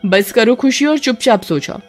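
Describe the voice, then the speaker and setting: A woman plays an anxious mother in a haunted-house story. The voice is high, urgent and stern, set over background music. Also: expressive, moderate pace, natural voice. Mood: scolding. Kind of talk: dramatized horror story acting.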